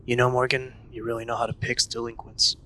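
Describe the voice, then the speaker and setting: A man's voice: mid-range voice, little pitch movement, brisk, pushed and bright, close to an everyday voice. A man playing a serious, take-charge young investigation leader in an audio drama, with a dry, terse manner. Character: Simon, serious young man leading a paranormal investigation team.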